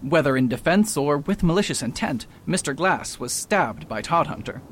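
A man's voice: fairly high pitch, lively intonation, normal speed, a little hiss or hum, lightly acted. A man playing a confident detective doctor in an amateur radio drama, laying out precise deductions. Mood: self-assured. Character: confident middle-aged male detective doctor.

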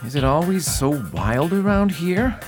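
An actor playing a stern, prim missionary reverend who is tense and curt in a radio play. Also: male voice, fairly high pitch, expressive, a little slow, music in the background. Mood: irritated. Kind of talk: radio theatre acting.

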